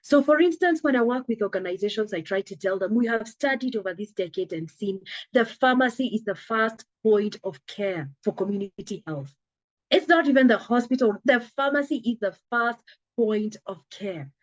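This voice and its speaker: A woman's voice: fairly high pitch, expressive, normal speed, dry recording, dull audio. A woman, a telehealth company founder, talking earnestly in an interview, her voice a little scratchy from a coming cold. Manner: earnest, emphatic, acts out little dialogues. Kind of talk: conversational interview.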